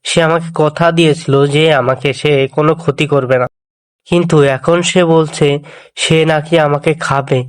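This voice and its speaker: A man's voice: a little high, steady tone, normal speed, natural voice. An actor playing a clever, fretful Brahmin pandit in a cartoon fable, a man's voice. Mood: indignant. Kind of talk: cartoon voice acting.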